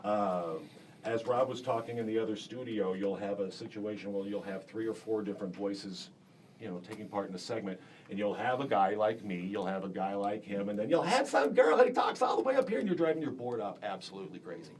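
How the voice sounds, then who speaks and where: Deep-voiced casual male instructor. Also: lively intonation, fairly quick. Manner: casual, many fillers. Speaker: broadcasting instructor. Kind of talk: classroom demonstration. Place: school radio studio. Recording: distant mic with faint room hiss.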